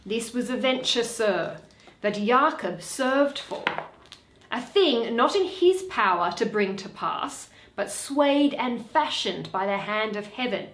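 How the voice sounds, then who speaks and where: A proud, scornful Venetian merchant, played by a woman reading Shakespeare. The delivery is stern and declamatory, unhurried and weighty. The sound is roomy, with noticeable echo. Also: a little high, expressive, a bit bright, slight background noise, exaggerated voice. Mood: challenging.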